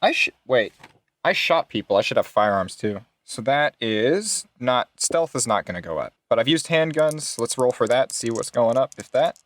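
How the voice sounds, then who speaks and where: A man's voice: mid-range voice, sing-song, moderate pace, slight background noise. A man chatting casually as a player in a tabletop role-playing game, reading out his results with some swearing. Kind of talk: casual chat while rolling dice.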